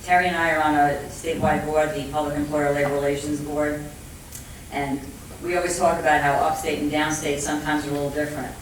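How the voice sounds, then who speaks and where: An echo-heavy conference recording with faint noise carries a middle-aged or older speaker at some distance from the mic. The accent is Brooklyn and the voice sits at a medium-to-ambiguous pitch. The delivery is conversational and emphatic, like a seasoned negotiator explaining things plainly to a panel audience. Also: level tone, fairly quick. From Brooklyn, New York, USA. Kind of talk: panel talk, speaking off the cuff. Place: indoor conference room with audience.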